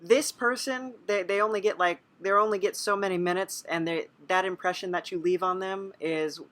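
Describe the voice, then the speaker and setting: A woman's voice: medium pitch, steady tone, moderate pace, a bit bright. A woman hosting a casual podcast interview in an agreeable, conversational way. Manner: agreeable, frequent short acknowledgements.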